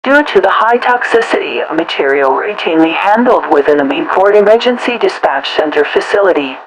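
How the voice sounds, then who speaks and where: A woman reading scripted automated transit announcements in a formal, measured, synthetic-announcer manner. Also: fairly low pitch, expressive, noisy background.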